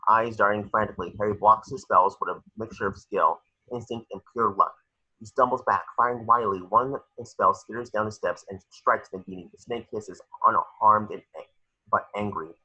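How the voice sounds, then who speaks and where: Male narrator for a table read: rough-edged, lower register, reading action lines with occasional stumbles, lo-fi home recording. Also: fairly even intonation, normal speed, pushed and bright. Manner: stumbles over words while reading. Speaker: narrator reading stage directions.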